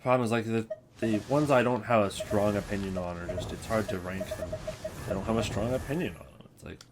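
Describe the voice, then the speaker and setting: A man's voice: on the low side, brisk, noisy background. A man giving casual, rambling commentary as a video creator, offhand and often interrupting himself. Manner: offhand, hesitant, self-interrupting. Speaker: video creator ranking game voice lines.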